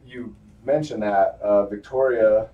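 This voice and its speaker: A man's voice: fairly low pitch, fairly even intonation, a little slow. A man, a singer-songwriter guest, chatting casually in an easygoing, agreeable way. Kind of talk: casual chat. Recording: dull, roomy, distant.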